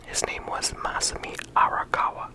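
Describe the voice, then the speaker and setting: An ASMR creator giving a whispered, unhurried character discussion, often pausing and restarting mid-sentence. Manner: unvoiced whisper throughout.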